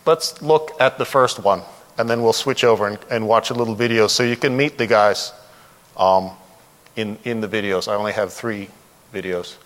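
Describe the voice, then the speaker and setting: A man's voice: on the low side, moderate pace, a bit bright. A man speaks as a presenter at a conference, his voice ringing through a reverberant hall. He is informal and candid, talking conversationally and freely offering opinions. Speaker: conference speaker, developer trainer and consultant. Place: large indoor presentation room.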